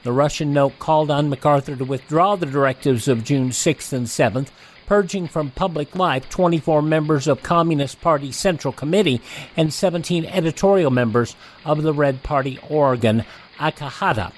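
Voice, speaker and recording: Steady male radio host. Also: a little high, moderate pace. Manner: steady, announcer-like reading. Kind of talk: scripted radio show introduction.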